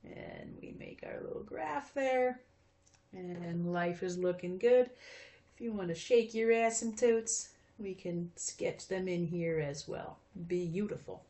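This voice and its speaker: A woman's voice: fairly low pitch, takes it slowly, mellow. A woman in her fifties teaching math in a recorded video lesson, chatty and friendly, with frequent fillers. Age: 50s.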